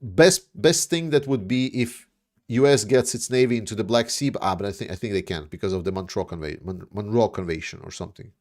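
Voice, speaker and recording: A man's voice: fairly low pitch, a little fast, darkish tone. A man from Latvia, hosting a casual livestream and answering viewer questions in a rambling way, with many fillers and restarts. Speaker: livestream host.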